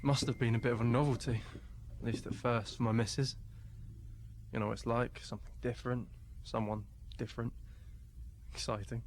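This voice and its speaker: An actor playing a bitter, disillusioned working-class husband in a radio play. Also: male voice, medium pitch, level tone, brisk, darkish tone, slight background noise, lightly acted. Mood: bitter, wry. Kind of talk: radio play monologue acting.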